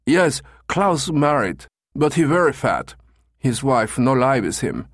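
A man's voice: medium pitch, sing-song, slow pace, dry recording, studio quality, pitched above the performer's own voice. A man voicing a bumbling, over-eager German tourist in an audiobook story, blurting broken English with a German accent. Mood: pleading, boastful.

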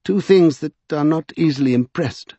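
A man's voice: fairly high pitch, normal speed, warm tone, no echo, highs cut off, voice pushed up, lightly acted. A man voicing a bookish, thoughtful magician who lectures precisely in an audiobook. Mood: brief, matter-of-fact. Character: pedantic, bookish, thoughtful male scholar magician.

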